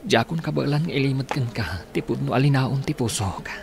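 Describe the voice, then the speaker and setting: A man's voice: medium pitch, animated, fairly quick, creaky. A man with an Ilocano accent playing a lovelorn, persistent family friend in a radio drama. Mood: pleading.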